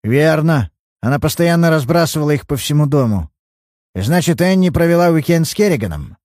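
A man voicing a self-pitying, hot-tempered elderly father with a shrill, quavering voice. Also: lively intonation, fairly quick, darkish tone, dry recording, studio quality, close to an everyday voice. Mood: skeptical.

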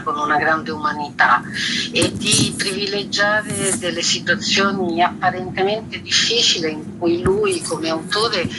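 Clearly noisy background, woman, interview guest, curator explaining, earnest, halting delivery. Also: sits low. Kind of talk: radio interview, explaining an exhibition.

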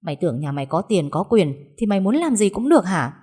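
A woman voicing a furious, foul-mouthed older sister who shouts insults and sobs. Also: mid-range voice, very animated, fast talker, slightly rough.

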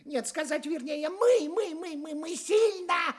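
An actor playing a miserly, exasperated farm master in a fairy-tale audio play, spluttering as he feigns calm. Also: very high-pitched, a little slow. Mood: flustered, placating. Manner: spluttering, stammering when flustered.

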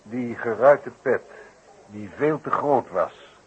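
A man's voice: mid-range voice, takes it slowly, husky. A man playing a pensive, inquisitive investigator's companion in a 1960s Dutch radio drama. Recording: dull old radio recording.

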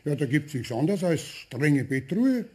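A man playing a firm, brisk family doctor in a children's radio play, husky and with a Bavarian accent. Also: a little high, sing-song, dark tone, exaggerated voice.

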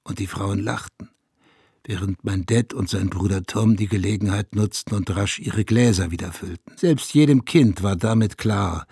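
An actor playing a pensive man remembering his small-town boyhood, with a gravelly, husky voice, in a radio play. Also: lowish voice, moderate pace, darkish tone, no echo, studio quality, heavily acted. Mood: wry, reminiscent. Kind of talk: radio drama storytelling.

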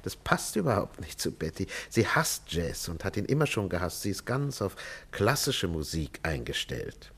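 A man's voice: sits low, very animated, moderate pace, hardly any pauses, a bit bright, husky, full character voice. An actor playing a worried middle-aged psychiatrist and father in a 1950s German radio play, formal and hesitant in manner. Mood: anxious, puzzled. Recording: 1950s radio drama recording.

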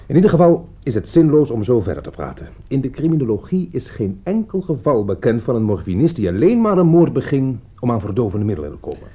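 An actor in a Dutch radio play playing an opinionated, touchy jurist who argues in a pedantic, scornful way. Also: male voice, medium pitch, very animated, fast talker, hardly any pauses, slightly rough. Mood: insistent, lecturing. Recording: dull, noisy old radio play recording.

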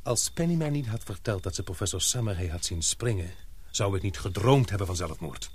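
A grave, husky radio-play investigator. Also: male voice, sits low, lively intonation, fairly quick. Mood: grave, reasoning. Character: grave, suspicious expedition man piecing together a conspiracy. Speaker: radio drama actor. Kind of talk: Dutch radio play acting.

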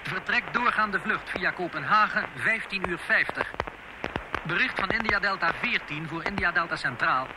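High-voiced male police dispatcher, very noisy. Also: lively intonation, normal speed. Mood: businesslike. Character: clipped, businesslike male police radio dispatcher. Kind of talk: radio play acting, radio procedure. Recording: very noisy radio link, vehicle ambience.

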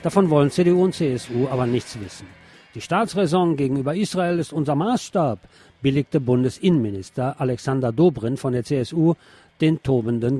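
A male radio presenter reading out press reports and commenting on them in a deliberate, emphatic way. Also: mid-range voice, warm tone, husky, no echo. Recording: music behind the voice. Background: background music.

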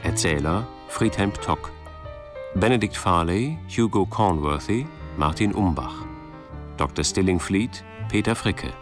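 Radio drama announcer, male: deep, measured, formal credit delivery over guitar music. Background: plucked guitar music.

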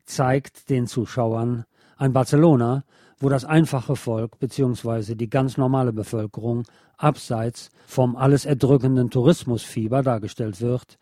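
A man presenting a scripted film review as a radio host, in a measured, explanatory way. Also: medium pitch, fairly even intonation, mellow, no echo, clean studio sound.

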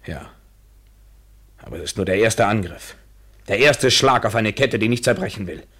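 An actor in a literary German radio play playing a brooding, bitter lover, intense and eloquent, swinging from hushed to vehement. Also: male voice, lowish voice, lively intonation, moderate pace, breathy edge. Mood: hushed, anguished. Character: brooding, bitter lover, a man wrestling with himself.

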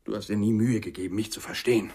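An actor playing a stern, self-righteous brother in a radio drama, stilted and defensive. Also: male voice, lowish voice, fairly even intonation, fast, mellow, slightly rough. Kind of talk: 1990s German radio crime drama acting.

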